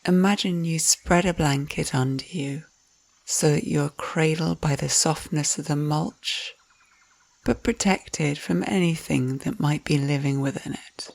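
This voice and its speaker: A woman narrating a scripted guided visualisation in a soft, soothing, unhurried manner, with breathy phrase endings. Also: low-pitched, no room sound. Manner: soothing, unhurried, gentle instructions.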